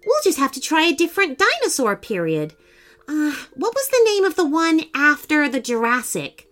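A woman voicing an eager, confident young boy adventurer in a children's story, excitable and matter-of-fact. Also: high voice, normal speed, pitched above the performer's own voice, full character voice. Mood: puzzled, determined.

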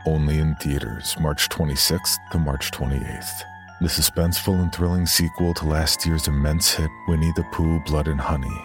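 A man reading a scripted movie advertisement as an announcer. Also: extremely low pitch. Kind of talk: scripted movie trailer promo. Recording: voice over music.

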